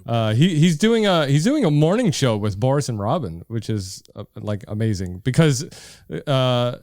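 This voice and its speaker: A man with a thick Brooklyn accent hosts a laid-back basement podcast, his pitch swinging lively as he rambles through fillers. The sound is dry and close on a studio mic. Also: normal speed, dry recording. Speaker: podcast host. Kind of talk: casual chat. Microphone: studio microphone.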